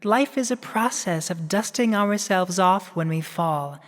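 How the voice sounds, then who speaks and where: Slow, sorrowful pony princess acted by a woman. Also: mid-range voice, animated, lightly acted. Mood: solemn regret. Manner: solemn, formal, emotional storytelling. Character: remorseful, lonely pony princess of the night. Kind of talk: fan-made radio drama acting. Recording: long hall reverb.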